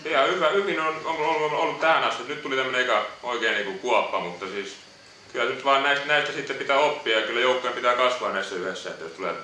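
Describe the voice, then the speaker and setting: A basketball player answering postgame questions, hesitant and repeating words. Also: male voice, mid-range voice, few pauses, bright, forceful tone, a little hiss or hum. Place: echoey press room. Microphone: distant room mic. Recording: echoey room audio from far off.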